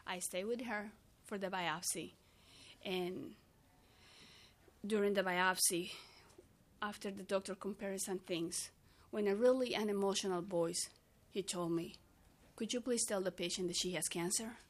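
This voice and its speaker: A woman's voice: medium pitch, steady tone, a little slow. A woman from Peru, a native Spanish speaker with a Peruvian accent, giving earnest testimony to a committee as an outreach worker. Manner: earnest, non-native phrasing.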